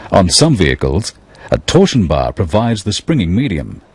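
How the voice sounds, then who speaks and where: A man giving scripted technical narration in a measured, explanatory way. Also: deep voice, sing-song, slightly rough.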